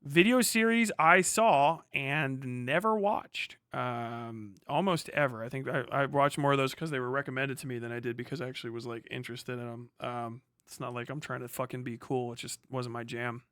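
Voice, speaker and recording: A man's voice: mid-range voice, moderate pace, few pauses, fairly bright tone, clean tone, dry recording, studio quality. An American man hosting a livestream, talking casually and reacting to chat in a profane, slangy, rambling way. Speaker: livestream host. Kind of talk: casual livestream commentary, reading and answering chat.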